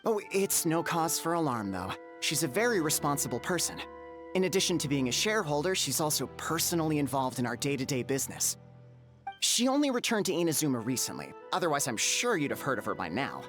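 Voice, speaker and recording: A man's voice: a little high, very animated, a little fast, fairly bright tone. A man voicing an eager, apologetic young bathhouse owner in a video game, with a hesitant, polite manner. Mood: earnest. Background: music.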